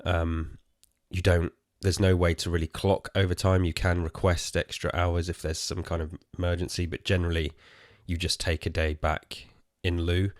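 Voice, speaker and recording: Man, English accent, very deep, hoarse and worn out, rambling podcast chat, dry mic. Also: little pitch movement, moderate pace, no echo. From England. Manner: rambling, self-deprecating, swears casually. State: tired and hoarse. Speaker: podcast host. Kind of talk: casual solo podcast chat.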